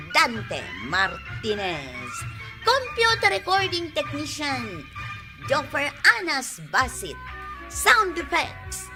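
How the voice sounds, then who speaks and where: A woman narrating and announcing a radio drama, with emphatic, sing-song, scripted theatrical delivery. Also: high-pitched. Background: music.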